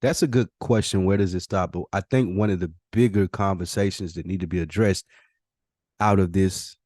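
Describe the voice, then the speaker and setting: A man's voice: low voice, normal speed, a little mellow, no room sound, studio quality. A man co-hosting a podcast, chatting casually in a reflective, slangy and profane way. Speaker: podcast co-host. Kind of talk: casual chat.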